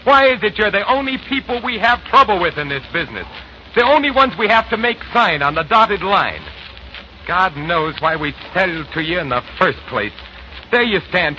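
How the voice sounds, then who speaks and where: A man's voice: high voice, pushed and bright, exaggerated voice. A man playing an eager, hot-headed young machinery dealer in a 1940s radio drama, with a boyish, folksy manner. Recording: noisy 1940s radio recording.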